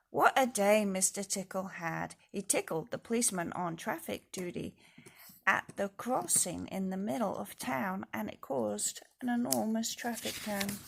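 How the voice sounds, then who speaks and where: A woman reading a children's storybook aloud in an expressive way, speaking directly to young listeners. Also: medium pitch, vocal fry, slight background noise. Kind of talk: storybook read-aloud with casual asides.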